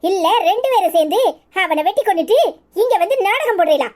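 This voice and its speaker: A woman playing a sharp-tongued, suspicious village wife in a melodrama, scolding and taunting in a southern Tamil Nadu accent. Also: extremely high pitch, expressive, fast. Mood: furious, accusing. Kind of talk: melodramatic village serial acting.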